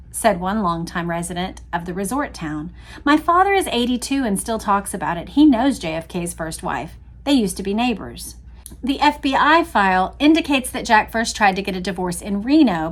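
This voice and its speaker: A woman host reading aloud from a book and commenting casually, in a conversational, explanatory way to her listeners. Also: medium pitch.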